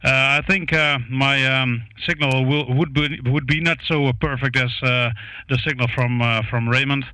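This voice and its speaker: A man with a Dutch accent chats over ham radio as an operator. His voice is bright and crisp, captured close and dry on a studio microphone. He is a native Dutch speaker and pauses often with filler sounds as he goes. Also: medium pitch, steady tone, no echo, rough recording. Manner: halting, frequent hesitations with uh. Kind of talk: ham radio contact chat. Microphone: close studio broadcast microphone.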